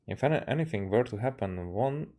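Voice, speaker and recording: A man, a game streamer reading dialogue aloud haltingly and correcting himself as he goes. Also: medium pitch, animated, warm tone. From Hungary.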